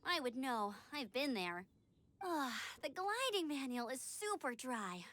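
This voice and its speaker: An actress playing an energetic, chatty young female scout in a video game, speaking in a perky, expressive, informal way. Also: sits high, measured pace, exaggerated voice. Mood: chatty, excited. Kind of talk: video game character dialogue.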